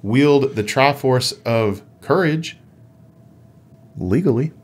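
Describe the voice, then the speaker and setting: A man's voice: medium pitch, lively intonation, slow. A man co-hosting a casual gaming podcast, with a playful, dryly teasing way of talking. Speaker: podcast co-host.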